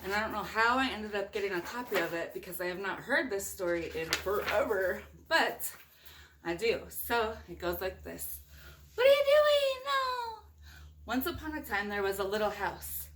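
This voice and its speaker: A woman's voice: medium pitch, big pitch swings, normal speed, a bit bright, a little hiss or hum. A woman doing a casual storytime read-aloud, speaking informally as a mother does to small children, with chatty asides and questions. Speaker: mother reading a picture book to young children and viewers.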